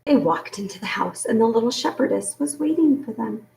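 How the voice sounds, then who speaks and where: A woman reading a children's book aloud in an expressive storytelling style. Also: fairly high pitch, fairly quick, creaky. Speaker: story reader. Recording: roomy echo, distant from mic.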